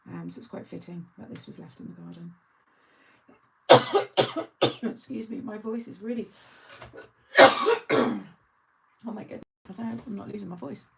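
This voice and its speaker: A woman's voice: mid-range voice, a little slow, gravelly, pauses often, fairly bright tone, breathy edge. A middle-aged woman hosting a craft channel, chatting casually and rambling through asides with frequent laughter. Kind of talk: casual live chat.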